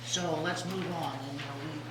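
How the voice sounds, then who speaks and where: A man chairing a city council meeting, his voice weak after recent vocal cord surgery, talking haltingly with many fillers. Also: fairly high pitch, fairly even intonation. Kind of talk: presiding over a public meeting. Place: council chamber. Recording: echoey room, distant mic, background noise.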